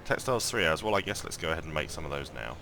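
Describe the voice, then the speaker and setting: Croaky low-voiced man thinking aloud. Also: animated, racing, noisy background. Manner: thinks aloud, rambling with many ums and restarts. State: croaky morning voice. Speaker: mobile game let's-play host. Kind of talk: casual gameplay commentary.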